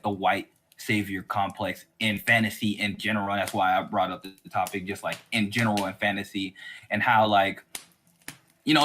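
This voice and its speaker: A podcast co-host with a mid-pitched voice talks in a casual, opinionated way. The delivery rambles and keeps qualifying itself, slipping into mimicry of imagined speakers. Faint noise and clicks sit in the recording. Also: fairly bright tone.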